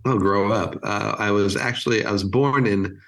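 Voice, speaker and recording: A man in his fifties from California with an American accent, chatting as an interview guest in a halting, thoughtful way. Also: on the low side, vocal fry, a bit bright. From California, United States. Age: 50s. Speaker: interview guest, creative agency chief. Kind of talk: casual interview chat.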